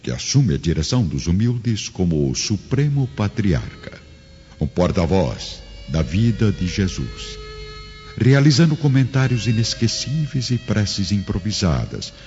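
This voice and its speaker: A man's voice: low voice, sing-song, unhurried, a little mellow. A man narrating a radio drama from a script in a solemn, florid, dramatic manner. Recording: dull, long reverb, music behind.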